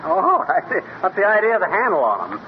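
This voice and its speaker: An actor playing a brash, wisecracking small-town husband with folksy, slangy talk in a 1930s radio comedy. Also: male voice, high-pitched, wildly swooping pitch, a little fast. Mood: teasing. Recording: dull, noisy 1930s radio recording.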